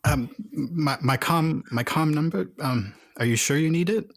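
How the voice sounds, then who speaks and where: A man's voice: a little high, unhurried, vocal fry, voice pushed up. A man voicing a charming, self-assured female alien smuggler who coaxes people in a tabletop game. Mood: evasive. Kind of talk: tabletop roleplay acting.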